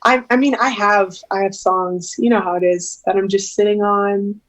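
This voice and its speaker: A woman's voice: mid-range voice, fairly even intonation, normal speed, poor audio. A woman in her twenties, a singer and interview guest, talking casually on a video call, hesitant and giggly with many fillers. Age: 20s.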